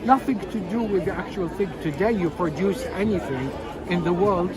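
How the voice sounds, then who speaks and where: High-voiced, insistent man in a loud crowd. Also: lively intonation, moderate pace. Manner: emphatic, insistent, repeats phrases. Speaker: protest attendee being interviewed. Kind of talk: impassioned street interview opinion. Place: outdoors at a busy city street protest. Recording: loud crowd noise outdoors. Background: loud protest crowd.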